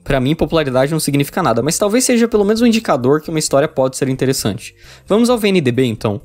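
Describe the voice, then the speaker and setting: A man's voice: on the high side, big pitch swings, rapid-fire, a little mellow. A man with a Brazilian accent narrating a scripted but informal, profane video essay. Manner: casual, profane. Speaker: video essayist reviewing visual novels. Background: background music.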